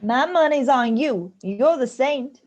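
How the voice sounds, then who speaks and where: A woman's voice: high-pitched, expressive, slow pace, a little mellow, full character voice. A woman playing a sour, sarcastic ghost mother-in-law in an amateur radio play. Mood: scornful. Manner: dry, grudging, sarcastic. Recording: slightly dull, lo-fi audio.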